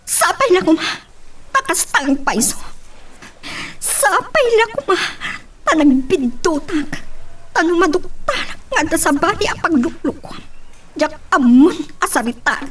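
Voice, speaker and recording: High-voiced, hurt Ilocano wife played by an actress. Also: female voice, very animated, a little slow, fairly bright tone, distant mic, full character voice. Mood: hurt, accusing. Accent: Filipino, Ilocano. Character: suspicious, hurt young wife doubting her husband. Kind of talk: Filipino radio-style romance drama acting.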